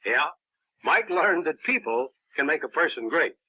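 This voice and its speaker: A muffled old radio broadcast carries a genial American adventurer storyteller. His husky voice is fairly high and moves in lively swings. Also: normal speed. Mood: genial, dramatic. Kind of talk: old-time radio host narration.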